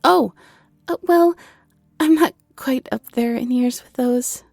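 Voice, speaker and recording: A woman's voice: sits high, slow pace, creaky, darkish tone, no echo, clean studio sound, faint music behind, heavily acted. A woman playing a shy, polite young half-owl shopkeeper, hesitant, apologetic and nervously chatty, in a roleplay audio drama. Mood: nervous, friendly.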